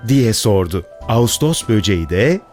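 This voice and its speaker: A man's voice: lowish voice, very animated, normal speed, darkish tone. A man narrating a scripted children's fairy tale as a storyteller. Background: music.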